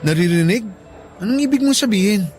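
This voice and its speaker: A high-pitched young male student, easygoing and earnest, with a Filipino accent. He speaks casually like a suitor in a radio love drama, over faint music. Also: lively intonation, a little fast. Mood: doubtful, defensive.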